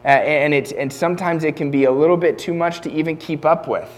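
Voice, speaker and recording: A man's voice: on the high side, fairly quick. Earnest young man preaching a sermon. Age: 20s. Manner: earnest, conversational preaching with frequent restarts.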